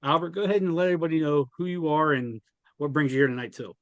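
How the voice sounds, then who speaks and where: A man's voice: on the high side, fast, dry recording, lo-fi sound. A man hosting an online webinar, informally introducing guests and putting questions to them. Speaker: webinar host, podcast co-host.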